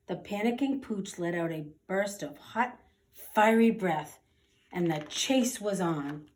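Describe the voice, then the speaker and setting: A woman narrating a children's story aloud in an expressive, dramatic and deliberate way. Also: medium pitch. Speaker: storybook reader.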